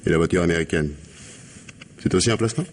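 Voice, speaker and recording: A man's voice: deep voice, fast, a little hiss or hum. A man playing an ironic, insinuating police inspector who questions with needling gallantry in a radio mystery drama. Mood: probing. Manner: sardonic, insinuating questioning with polite irony.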